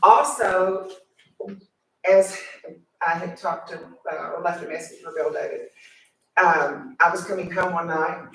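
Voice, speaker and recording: A woman's voice: fairly low pitch, a little slow, pauses often, fairly bright tone, a little hiss or hum. Chatty woman in an echoey far-off room. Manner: rambling, chatty, speaks seated. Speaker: resident speaking at public comment. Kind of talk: conversational public comment. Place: county commissioners court meeting room.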